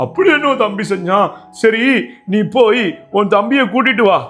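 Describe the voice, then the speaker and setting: A man's voice: high voice, normal speed, a bit bright, soft background music. A man playing a jolly old Christmas grandfather who hosts a children's show, chuckling and repeating his phrases playfully. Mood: jolly, chatty.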